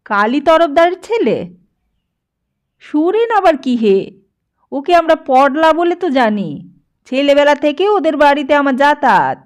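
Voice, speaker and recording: Woman voicing a chatty, high-pitched old male priest. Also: big pitch swings, a little slow, long pauses. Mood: inquisitive. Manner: rambling, chatty, question after question. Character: garrulous, nosy old male village priest, imposing and familiar. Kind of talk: dramatised audiobook dialogue.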